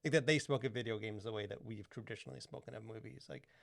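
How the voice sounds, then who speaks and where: A man's voice: fairly low pitch, fast talker, creaky, fairly bright tone, no echo. Rambling man on a dry podcast mic. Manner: rambling, heavy use of "like" and "you know". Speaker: podcast co-host. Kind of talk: casual podcast chat.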